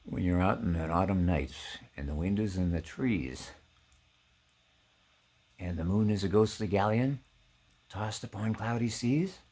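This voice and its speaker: A man giving a theatrical, intense dramatic recitation of poetry, swinging between hushed delivery and full-throated cries. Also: low voice, unhurried, long pauses. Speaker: poetry performer.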